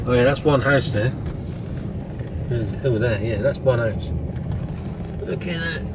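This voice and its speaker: A man from Britain rides along in a car and talks about the streets outside. He cracks jokes and teases the driver in an everyday mid-range voice. Car noise runs clearly under the recording. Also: mid-range voice, unhurried. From United Kingdom. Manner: joking, teasing. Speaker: vlogger. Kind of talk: casual travel vlog chat. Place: in a car, passenger seat.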